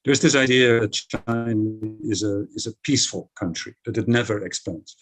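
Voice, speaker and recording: A man's voice: medium pitch, moderate pace. A middle-aged man whose first language is Dutch, speaking on a panel discussion with blunt, emphatic opinions.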